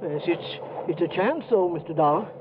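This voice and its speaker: A man's voice: a little high, animated, normal speed, natural voice. An actor with an American accent playing a flustered, protesting small-town newspaperman in a 1950s radio drama. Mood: dawning agreement. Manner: sputtering, indignant, hesitant. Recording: dull, noisy vintage radio recording.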